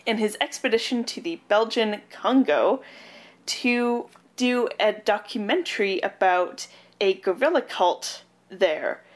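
A woman's voice: mid-range voice, expressive, slow pace. A young woman from Canada co-hosting a podcast, with an easy conversational delivery. She is blunt and wry when she talks. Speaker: podcast co-host. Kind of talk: casual podcast chat.

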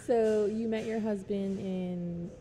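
A woman's voice: mid-range voice, steady tone, takes it slowly, dark tone, faint music behind. A woman interviewing a guest in a chatty, curious way, asking lots of follow-up questions. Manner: chatty and curious, laughs often. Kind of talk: casual interview.

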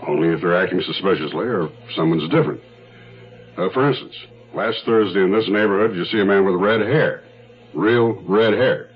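An actor playing a methodical police detective in a 1970s radio drama, terse and matter-of-fact. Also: male voice, low-pitched, moderate pace, husky. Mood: probing, businesslike. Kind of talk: 1970s radio drama acting and first-person narration. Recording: dull 1970s radio recording, music behind.

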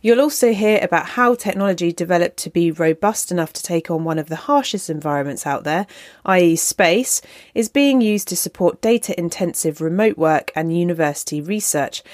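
A woman hosting a podcast, reading a measured, prepared introduction. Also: on the low side, darkish tone, dry recording, studio quality. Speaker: podcast host.

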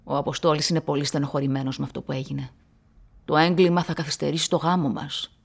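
An actress playing a probing middle-aged woman in radio play dialogue, with a husky, creaky voice. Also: deep voice, fairly even intonation, exaggerated voice. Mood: defensive. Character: probing middle-aged woman, engaged to be married, fishing for information.

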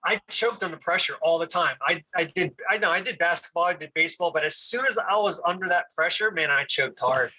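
A man of Puerto Rican background chatting candidly on a podcast, halting and restarting as he talks. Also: fairly high pitch, bright, forceful tone, slight background noise, low-quality recording. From Puerto Rican family. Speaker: podcast guest. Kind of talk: casual conversation.

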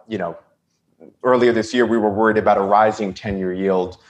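A man co-hosting a conference call, politely moderating and relaying listener questions in a measured way. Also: lowish voice.

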